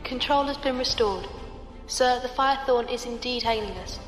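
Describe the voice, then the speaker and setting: A woman's voice: a little high, moderate pace, lightly acted. Actress as female starship navigator, composed, precise, formal, reverberant, faint music, audio drama. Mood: calm formal. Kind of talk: sci-fi audio drama acting. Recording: echoey reverberant hall sound.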